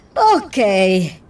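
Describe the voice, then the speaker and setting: A woman playing an excitable, money-minded mother in an Ilocano radio drama. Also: fairly high pitch, breathy edge, exaggerated voice. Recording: distant mic with faint noise.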